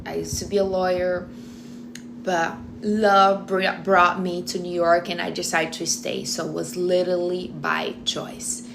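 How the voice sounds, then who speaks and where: A woman's voice: on the low side, flat delivery, unhurried, vocal fry, fairly bright tone, slight background noise. A woman in her thirties from Brazil, with a strong Brazilian Portuguese accent and Portuguese as her first language, chatting casually to camera between bites of food and often restarting her sentences. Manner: chatty, talks while eating, restarts often. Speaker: vlogger.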